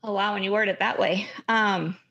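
A woman guest on a podcast, a running coach, talking in an upbeat, quick and energetic way. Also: medium pitch, dull audio. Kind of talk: casual podcast interview.

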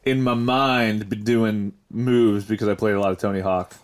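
A man with a fairly low voice hosts a relaxed talk podcast. He speaks informally, in the loose style of chatting among friends or reading out stories to them. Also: moderate pace. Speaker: podcast host. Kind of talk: casual chat, reading listener confessions aloud.

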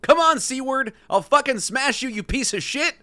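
A belligerent male golfer, acted out by a man reading a comic story, spitting threats and swearing. The voice is pitched high and sharp, with big swings. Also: lively intonation, normal speed, exaggerated voice. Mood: belligerent, threatening. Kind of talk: dramatic comic quote reading.

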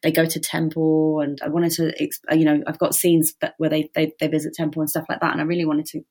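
A woman author speaking in a lowish voice, taking her time in an interview and often breaking off to restart a thought. She grew up in Britain with Gujarati as her first language. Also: completely flat delivery, fast talker, a little mellow, breathy edge. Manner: thoughtful, with frequent restarts and "you know". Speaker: novelist guest. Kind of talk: conversational interview.

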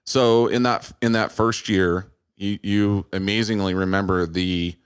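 A man's voice: on the low side, steady tone, a little slow, smooth, dry recording, studio quality. A man conducting a casual, conversational interview, putting questions and comments to a guest.

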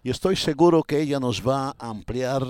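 A man of middle pitch hosts the interview with courteous, elaborate phrasing. The recording is dry and close. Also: normal speed, darkish tone, clean tone, no room sound. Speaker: podcast host. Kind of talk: formal interview introduction.

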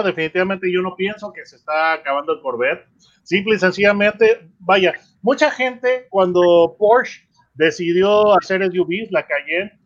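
A man from Monterrey, Mexico, a car reviewer guest explaining at a measured pace with fillers over a video call. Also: sits high, fairly bright tone, lo-fi sound.

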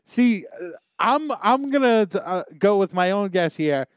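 A man's voice: sits high, lively intonation, a little slow, clean tone, no room sound, clean studio sound. A man co-hosting a podcast, chatting casually and joking around. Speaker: podcast co-host.

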